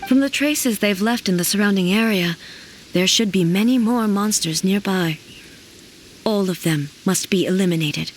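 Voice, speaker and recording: A woman's voice: medium pitch, a little hiss or hum, lightly acted. Woman playing a solemn, stately warrior goddess. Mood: stern, resolute. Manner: formal, measured, solemn, commanding. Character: stern, dignified female ruler and warrior deity. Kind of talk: anime game voice acting.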